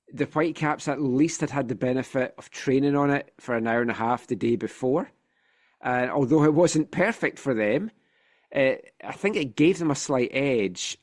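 A Scottish man hosting a football podcast in casual chat, telling things with wry animation, slightly congested from a sinus infection. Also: medium pitch. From Scotland.

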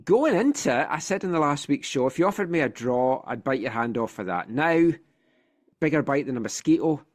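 A man's voice: mid-range voice, lively intonation, fairly quick. A Scottish man hosting a football podcast in casual chat, telling things with wry animation, slightly congested from a sinus infection. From Scotland.

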